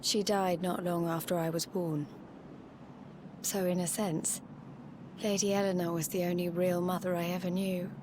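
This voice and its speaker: An actress voicing a poised, earnest young princess in a video game cutscene, composed and well-spoken with a wistful streak. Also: female voice, on the low side, flat delivery, moderate pace, background noise, lightly acted. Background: music.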